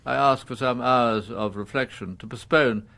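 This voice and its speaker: Old English judge, icy, courteous, disdainful, pompous legal diction, medium-pitched, radio drama. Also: male voice, normal speed, vocal fry, bright, forceful tone. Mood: grave, reflective.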